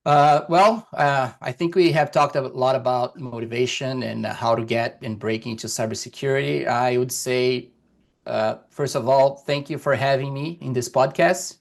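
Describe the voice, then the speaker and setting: A man from Brazil, a native Portuguese speaker with a Brazilian accent, speaking as a podcast guest over a video call in a deliberate, encouraging way with many fillers. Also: medium pitch, vocal fry. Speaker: guest, security executive. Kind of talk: podcast interview. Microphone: remote video call.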